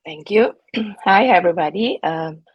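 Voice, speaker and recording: Woman from bali, deep-ish voice, some pauses and uhs. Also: breathy edge, no room sound, muffled recording. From Bali, Indonesia. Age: 40s. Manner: hesitant, with fillers. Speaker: panel guest. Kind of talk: self-introduction.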